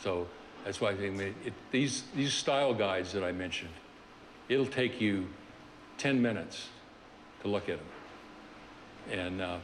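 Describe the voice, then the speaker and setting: Older male seminar speaker, low-pitched, halting delivery, large reverberant room. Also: slight background noise. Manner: halting, many fillers and restarts. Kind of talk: reflective lecture on his career.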